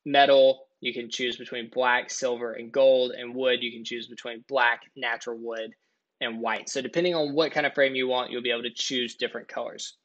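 Man, middle pitch, casual tutorial voice-over, step-by-step explaining. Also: little pitch movement, normal speed, a bit bright, muffled recording. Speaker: product tutorial presenter. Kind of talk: screen-recording tutorial voice-over.

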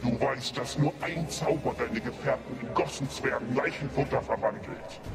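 A man's voice: medium pitch, normal speed, close to an everyday voice. A man playing a menacing, imperious dragon in a fantasy radio drama, commanding and contemptuous. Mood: threatening. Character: menacing, imperious dragon guarding a stolen hoard. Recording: loud music under the voice.

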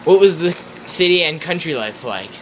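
An earnest old-time radio interview host played by a young man. His voice is fairly high, reading scripted questions in an amateur skit with faint noise behind. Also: animated, moderate pace, natural voice, rough recording. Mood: formal. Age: young adult.

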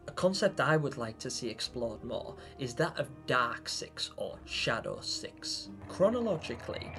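A man in his twenties hosting a scripted theory video, speaking in an expressive, theatrical storytelling way. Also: on the high side. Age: 20s. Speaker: video host. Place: small room at home, indoors.

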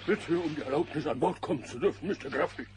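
An actor playing an eager, dreamy bear, by turns pleading and playfully pompous, in a German children's audio drama. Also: male voice, a little high, fast, darkish tone, lightly acted. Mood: excited, playful. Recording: slightly noisy old cassette.

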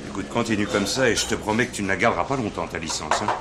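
An actor playing a gruff, dogged police inspector in a radio drama, sarcastic and browbeating. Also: male voice, lowish voice, rapid-fire, noisy background, lightly acted. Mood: impatient, threatening. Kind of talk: French radio drama acting.

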